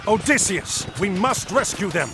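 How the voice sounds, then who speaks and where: An actor playing a bold, resolute champion warrior in an epic video game, speaking in a firm, heroic manner. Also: male voice, sits high, expressive, measured pace, creaky, very noisy, full character voice. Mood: urgent, defiant. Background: music.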